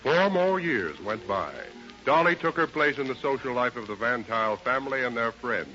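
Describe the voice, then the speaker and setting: A man narrating a 1930s radio drama in a measured, dramatic storytelling manner. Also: mid-range voice, expressive, pushed and bright, faint music behind. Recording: muffled 1930s radio recording.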